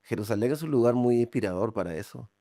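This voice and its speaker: A man's voice: mid-range voice, fast, warm tone, dry recording, clean studio sound. A man hosting an interview channel, introducing his guest and asking questions with frequent short acknowledgments. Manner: friendly, lots of short acknowledgments. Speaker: interview host. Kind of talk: interview conversation.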